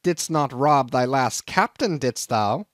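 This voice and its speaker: A man voicing a gruff, suspicious old whaling captain who blusters in archaic thee-and-thou speech. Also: medium pitch, lively intonation, takes it slowly, fairly bright tone, no room sound, studio quality. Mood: gruff, blustering. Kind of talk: audiobook character voice.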